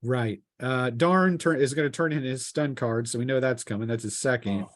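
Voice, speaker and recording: A man acting as game master, improvising narration of a tabletop game in a halting way with drawn-out pauses. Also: medium pitch, no echo.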